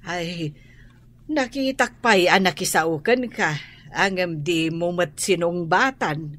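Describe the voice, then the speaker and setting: A woman's voice: lowish voice, takes it slowly, a bit bright. A woman acting in an Ilocano radio drama as an older mother who patiently admonishes and advises her child, with an Ilocano accent. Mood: reproving.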